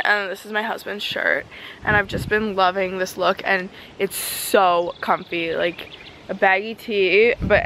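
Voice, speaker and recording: A young woman talks in an informal, rambling vlog style. Her voice sits at a medium pitch. She is recorded outdoors at arm's length, with light background noise around her. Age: 20s. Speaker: lifestyle vlogger. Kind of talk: casual vlog chat. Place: mostly outdoors in a leafy backyard, briefly a home kitchen. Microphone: camera at arm's length.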